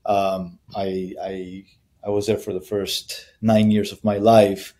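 A man's voice: on the low side, flat delivery, slow, mellow. A man in his thirties from Colombia, a company founder answering interview questions on a video call, thoughtful and full of hesitations and restarts. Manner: thoughtful, many fillers and restarts. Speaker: guest, brand founder. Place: small room with bare wall.

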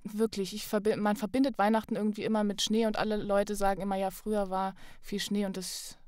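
A woman's voice: mid-range voice, level tone, a little fast, a little mellow, dry recording. Young woman chatting, dry close sound. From Thuringia, Germany. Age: young adult. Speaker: podcast guest, actress. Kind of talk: casual conversational interview.

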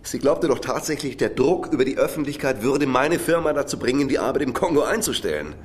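A man's voice: medium pitch, lively intonation, a little fast, close to an everyday voice. An actor playing a cynical, defensive middle-aged businessman under interrogation in a radio crime drama, self-justifying and swinging between muttering and heated outbursts. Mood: cynical, scornful. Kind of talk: naturalistic German radio drama acting.

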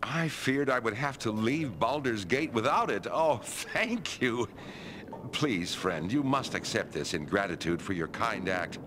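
A man's voice: lowish voice, expressive, unhurried, noisy background. A man voicing a gushing, theatrical, grateful traveller in a fantasy video game. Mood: effusive gratitude.

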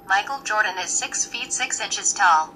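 A woman's voice: medium pitch, expressive, moderate pace, bright, forceful tone, faint music behind, poor audio. A synthetic woman's voice of a phone assistant, reading out short search answers through a phone speaker. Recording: faint noise, phone speaker picked up by camera.

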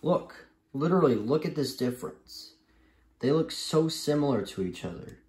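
A man giving a casual, unscripted review in a halting, repetitive and emphatic way, recorded in a room at home. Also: on the high side, expressive, darkish tone. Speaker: vlogger reviewing gadgets. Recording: roomy, echoey home recording.

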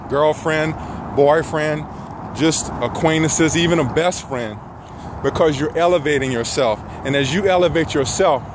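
A middle-aged man giving an earnest motivational talk in measured phrases with frequent pauses, walking outdoors with a phone at arm's length. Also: a little high. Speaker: self-help vlogger. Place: outdoors on a path among trees. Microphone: phone held at arm's length. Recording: noisy outdoor phone recording, traffic ambience.

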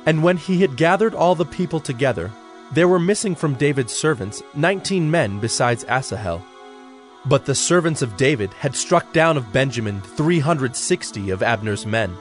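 A man narrating a dramatized audio Bible in a measured, solemn storytelling style. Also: mid-range voice, expressive. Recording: faint music behind narration.

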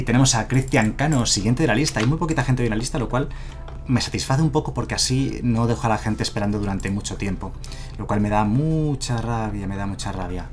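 A man in his thirties with a Madrid Spanish accent, casually thinking aloud while streaming a card game on a headset mic. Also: on the low side. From Madrid, Spain. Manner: casual, thinking aloud, self-deprecating chuckles. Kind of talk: live gameplay commentary. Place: home streaming room, indoors.

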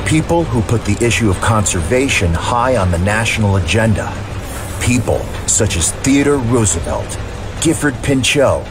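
A man with a low voice delivers scripted documentary narration. Music plays clearly behind him throughout.

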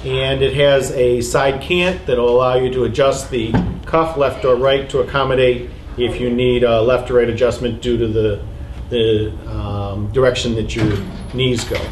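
A man's voice: mid-range voice, steady tone, measured pace. A man in his forties, a shop owner, enthusiastically explaining a product's features in a review. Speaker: shop owner presenting gear. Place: ski boot shop, indoors. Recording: echoey shop room, faint hiss.

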